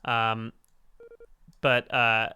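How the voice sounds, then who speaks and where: Male host, hoarse and raspy, bright tone, rambling gamer chat. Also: completely flat delivery, drawn-out words. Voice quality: deeper raspy register. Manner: rambling, self-interrupting. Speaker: stream host. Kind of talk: casual gaming chat on a charity stream.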